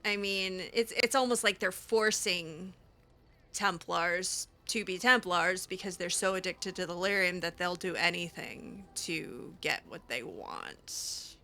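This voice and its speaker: Woman doing gamer roleplay, slow and chatty, bright voice, bit hesitant and wry. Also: fairly low pitch. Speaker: gaming channel host roleplaying a mage character. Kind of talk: improvised in-character roleplay commentary, conversational.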